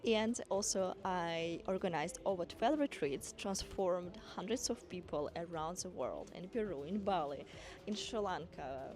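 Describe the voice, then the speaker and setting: A woman from Kazakhstan, a dance school owner and women's coach, giving an earnest, encouraging motivational talk to camera, with small non-native grammar slips. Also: on the low side. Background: background music.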